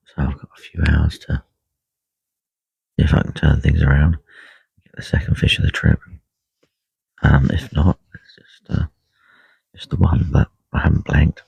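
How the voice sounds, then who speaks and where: A man with a croaky voice talking quietly and unhurriedly on a live stream from a lake bank, full of ums and uhs. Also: rumbling low, flat delivery, normal speed, pauses often, dry recording. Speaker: carp angler livestreaming. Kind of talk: casual live stream chat. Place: lakeside fishing swim outdoors. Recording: dull lo-fi live stream audio.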